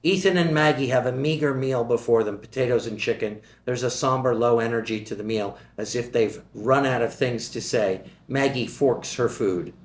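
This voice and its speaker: A man reading the stage directions of a screenplay at a table read in a steady, measured voice. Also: mid-range voice. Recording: echoey room.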